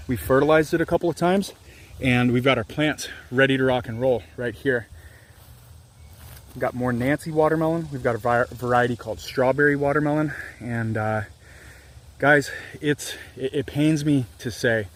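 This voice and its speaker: A man in his thirties, an organic farmer, chatting candidly in a rambling, self-deprecating way while filming himself outdoors on his farm. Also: medium pitch. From Louisiana, US. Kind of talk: casual farm vlog. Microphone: handheld camera at arm's length.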